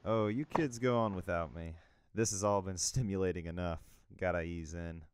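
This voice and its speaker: A man's voice: sits low, steady tone, normal speed, clean tone, dry recording, close to an everyday voice. A man playing a jaded writer in their thirties, dry and self-deprecating, in an amateur comic dub. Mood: wry, weary.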